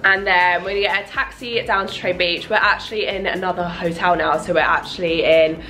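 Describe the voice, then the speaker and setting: Croaky young woman vlogging. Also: on the low side, steady tone, a little fast, sharp tone, soft background music, rough recording. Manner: chatty, teasing, laughs often. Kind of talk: casual vlog chat.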